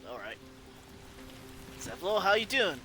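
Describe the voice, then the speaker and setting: A man's voice: high voice, big pitch swings, a little slow, pushed and bright, faint music behind. A man hosting a game playthrough, casually role-playing along as he comments. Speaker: let's play host.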